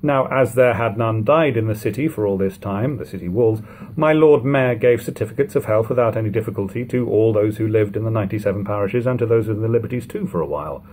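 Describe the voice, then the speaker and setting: A man in his thirties reading a classic novel aloud at home, in a measured, steady way, letting sentence ends fall quiet. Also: lowish voice. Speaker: audiobook reader. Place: living room at home.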